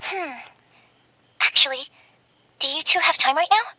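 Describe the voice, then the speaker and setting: A woman's voice: high voice, a little slow, slight background noise. A woman playing an earnest, bookish young female researcher in a video game, speaking politely and a little hesitantly. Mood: hesitant, wistful. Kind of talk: anime-style video game voice acting. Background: soft game music.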